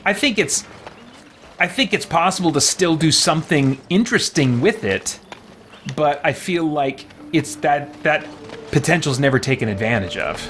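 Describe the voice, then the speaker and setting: A man's voice: fairly high pitch, animated, moderate pace, a bit bright, slight background noise. A man doing casual live gameplay commentary as a streamer, thinking aloud and reading things out. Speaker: game streamer. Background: faint game music.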